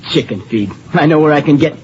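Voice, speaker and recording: A man's voice: on the high side, expressive, fairly quick, slight background noise, natural voice. Man playing a cocky, muffled teenage motorcycle kid. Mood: defiant. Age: young adult. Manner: slangy, offhand, cocky. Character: cocky, slangy teenage motorcycle boy chasing easy money. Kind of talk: 1950s radio drama acting. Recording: muffled old radio recording, loud music behind.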